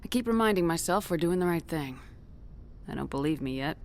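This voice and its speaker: An actress playing a resolute, frank military commander in a video game, measured and determined. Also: female voice, on the low side, lively intonation, a little hiss or hum. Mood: intimate, wry. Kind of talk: cinematic video game dialogue.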